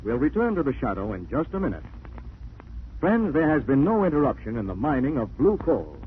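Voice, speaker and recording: A man's voice: medium pitch, very animated, a little fast, over music. An American man reading scripted narration and sponsor messages as a 1940s radio announcer. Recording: scratchy, narrow-band 1940s radio recording.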